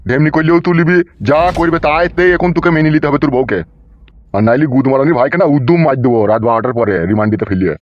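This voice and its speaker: A man's voice: medium pitch, rapid-fire, lo-fi sound, natural voice. A man voicing a foul-mouthed, bullying police officer in a comedy cartoon, scolding and domineering. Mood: scolding, abusive. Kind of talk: Bengali comedy cartoon dubbing.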